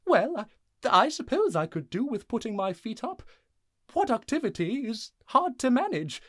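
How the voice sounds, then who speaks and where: A woman playing a harried but soft-hearted town mayor in a family audio drama, folksy and a little blustering. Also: fairly high pitch, very animated, normal speed, no echo, studio quality. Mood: weary, bashful.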